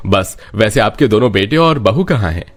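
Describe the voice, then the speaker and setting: A man's voice: fairly low pitch, expressive, normal speed. A man voicing a kindly family doctor in a cartoon story, reassuring and full of advice. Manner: reassuring, advising. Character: kindly advising male village family doctor.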